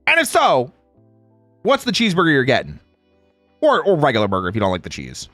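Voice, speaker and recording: A man's voice: mid-range voice, huge pitch swings, a little fast, a bit bright, dry recording, soft background music. A man in a playful, casual livestream chat, reading out viewer comments and replying in slangy speech. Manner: playful, reads chat aloud and replies.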